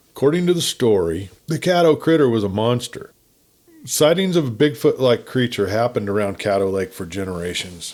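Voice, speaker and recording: A man narrating a written campfire story in a folksy, conversational, unhurried manner. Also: fairly low pitch, a little mellow. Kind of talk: folksy scripted story reading.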